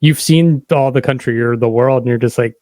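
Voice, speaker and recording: A man's voice: mid-range voice, brisk, a little mellow. A man hosting a podcast interview in a casual, conversational style. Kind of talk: casual chat.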